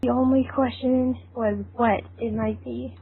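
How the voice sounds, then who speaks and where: A woman's voice: on the high side, little pitch movement, measured pace, gravelly. A woman reading scripted fan fiction narration as an amateur narrator. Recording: tinny, band-limited, noisy amateur recording.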